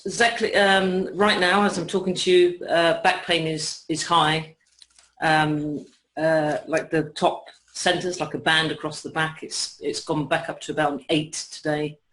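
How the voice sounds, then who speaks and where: A man's voice: a little high, little pitch movement, a little slow, sharp tone. A young man describing his pain and his experiences, talking hesitantly with many fillers and restarts. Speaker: client. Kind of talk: conversational interview. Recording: echoey room.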